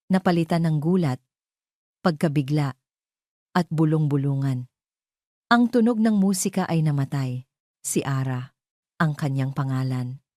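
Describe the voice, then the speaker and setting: A woman narrating a scripted romantic audio drama in a dramatic, deliberate storyteller's manner. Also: deep voice, darkish tone, no echo, studio quality.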